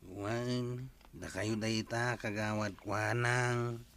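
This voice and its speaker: A man playing an ailing villager in a comedy drama. He answers slowly and gratefully in brief replies, with an Ilocano accent. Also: fairly low pitch, steady tone, fairly bright tone, a little hiss or hum, full character voice. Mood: weak, grateful.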